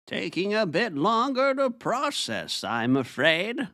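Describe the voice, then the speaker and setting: A woman voicing a fussy, formal lab keeper, measured and testy, in an audio fantasy drama. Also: medium pitch, big pitch swings, fairly bright tone, no room sound, studio quality. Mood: dry, formal.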